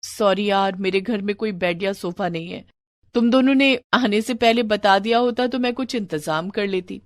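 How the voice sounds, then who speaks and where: A woman's voice: mid-range voice, moderate pace, dry recording, clean studio sound, close to an everyday voice. A woman voicing a humble, apologetic and dutiful young daughter-in-law in a family moral story. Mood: embarrassed, apologetic.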